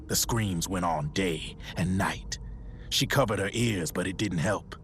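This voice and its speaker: A man playing a grim, folksy soldier in a video game, telling a dark history in a measured, ominous way. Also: low-pitched, slight background noise. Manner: measured, ominous, colloquial storytelling. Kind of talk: dramatic game cutscene storytelling.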